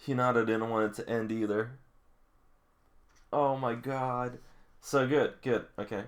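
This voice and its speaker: A man's voice: mid-range voice, steady tone, a little slow. A man doing a read-along with casual, emotional running reactions, often exclaiming like an absorbed fan. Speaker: reader reacting to a manga.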